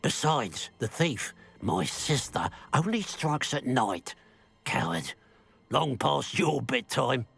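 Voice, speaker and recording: An actor playing a bitter, suspicious village shopkeeper in a video game, grumbling with dramatic sarcasm. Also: male voice, medium pitch, sing-song, slow pace, gravelly, fairly bright tone, breathy edge, heavily acted. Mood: bitter, scornful. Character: bitter, suspicious village shopkeeper man, resentful of his sister.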